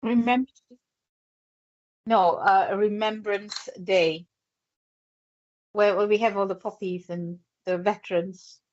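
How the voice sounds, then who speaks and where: Slow, hesitant Yorkshire woman medium. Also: mid-range voice, fairly even intonation, no room sound. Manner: hesitant, many fillers, thinking aloud. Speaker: psychic medium. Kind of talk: live psychic reading on air. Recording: dull lo-fi sound.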